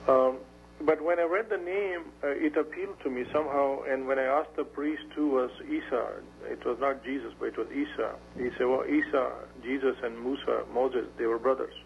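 A man answers interview questions in a gravelly voice, pausing often and restarting his phrases. He comes through a dull, muffled radio broadcast with a faint hum underneath. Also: medium pitch, fairly even intonation. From Pashtun area of Pakistan. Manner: halting, many fillers and restarts. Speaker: guest.

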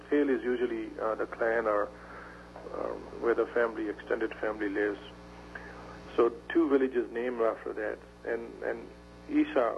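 A man answers interview questions in a gravelly voice, pausing often and restarting his phrases. He comes through a dull, muffled radio broadcast with a faint hum underneath. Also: medium pitch. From Pashtun area of Pakistan. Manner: halting, many fillers and restarts. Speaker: guest.

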